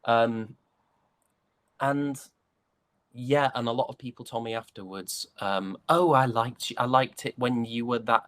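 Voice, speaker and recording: A young British man chatting casually as an interview guest, an actor recounting his roles in a hesitant, halting way. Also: mid-range voice, a bit bright, dry recording. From United Kingdom.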